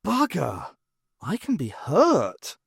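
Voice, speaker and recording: Man voicing a wry, plucky heroine, higher-pitched. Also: wildly swooping pitch, slow pace, vocal fry, husky, no room sound, studio quality, full character voice. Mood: excited alarm.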